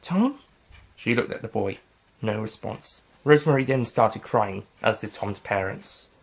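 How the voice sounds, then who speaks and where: A man's voice: fairly low pitch, moderate pace, vocal fry. A man narrating his own story in a fan audio production, reading plainly and evenly. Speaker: storyteller and writer.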